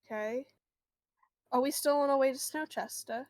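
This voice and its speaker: A woman's voice: high voice, normal speed, dry recording, clean studio sound. A woman playing a tabletop role-playing game, chatting casually in and out of character in a hesitant, rambling way.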